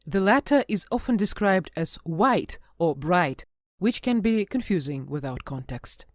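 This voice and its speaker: A woman co-hosting a podcast, reading scripted explanatory notes in a measured way. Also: fairly low pitch, animated, dry recording, studio quality. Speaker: podcast host.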